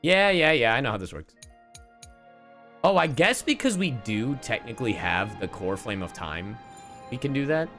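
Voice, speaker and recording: A man's voice: mid-range voice, big pitch swings, normal speed, bright, forceful tone, soft background music. A man streaming a game, chatting casually and reacting to the story as it plays. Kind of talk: casual live stream commentary.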